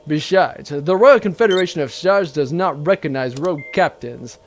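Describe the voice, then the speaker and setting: A man's voice: on the high side, normal speed, natural voice. A man playing a smug, gluttonous, pompous vice admiral villain in a comedic sci-fi audio drama. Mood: self-important. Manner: pompous, grandiose.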